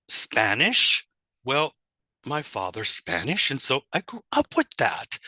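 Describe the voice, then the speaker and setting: Bright-voiced man lecturing with fillers. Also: mid-range voice, no room sound, poor audio. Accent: American. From United States. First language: English. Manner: hesitant, many uh fillers, rhetorical questions. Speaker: teacher trainer giving a lecture. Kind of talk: lecture talk.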